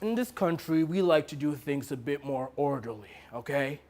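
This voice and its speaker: A man playing a bossy, dismissive film director who talks down to people in a curt, measured, patronising way. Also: fairly high pitch, animated, fairly bright tone. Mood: stern, dismissive. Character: condescending, bossy male film director, dismissive and money-minded.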